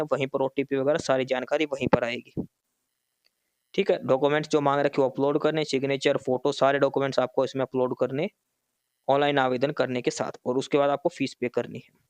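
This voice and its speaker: A man's voice: medium pitch, clear, resonant tone, dry recording. A man presenting an informal explanatory walkthrough for students, instructive and repeating key points. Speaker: presenter explaining a job notification.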